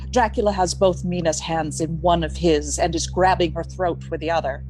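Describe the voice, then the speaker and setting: Low-voiced woman narrating a radio drama. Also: fairly even intonation, moderate pace, darkish tone, soft background music, rough recording.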